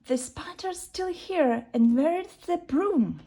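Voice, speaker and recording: A woman's voice: high voice, animated, takes it slowly, warm tone, voice pushed up, heavily acted. A woman voicing a little female witch who is impatient and hot-tempered, exclaiming in huffy bursts. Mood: dismayed.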